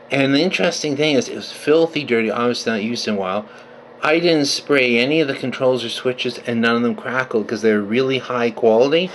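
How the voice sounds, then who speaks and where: Male hobbyist presenter, casual and conversational, mid-pitched voice, faint noise behind him. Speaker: vintage radio collector presenting his restoration. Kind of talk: casual unscripted video demonstration.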